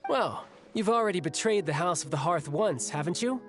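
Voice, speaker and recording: A man's voice: sits high, lively intonation, normal speed. A man voicing a friendly, frank, protective young adventurer in a video game, talking in a casual, candid way. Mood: frank, probing. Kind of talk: anime-style video game voice acting. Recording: music faintly behind the voice.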